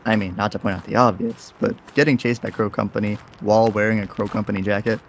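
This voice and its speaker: A man's voice: on the low side, fairly even intonation, fast, slight background noise, natural voice. A man playing an easygoing, cheeky young rogue in an audio drama, talking in casual, wry slang. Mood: chatty, teasing. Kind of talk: audio roleplay drama acting.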